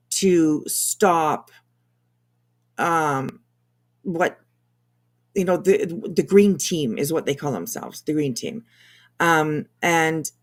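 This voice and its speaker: A woman co-hosting a casual commentary livestream, explaining things in a halting, rambling way. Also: sits low. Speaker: livestream co-host.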